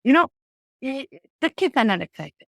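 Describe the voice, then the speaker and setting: A woman whose mother tongue is Tamil speaks in a fairly high voice, animated and earnest, often circling back to restart a thought. The sound is close and dry, with almost no room around it. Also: normal speed, creaky, no echo. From Malaysia. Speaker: childcare center director. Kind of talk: conversational interview.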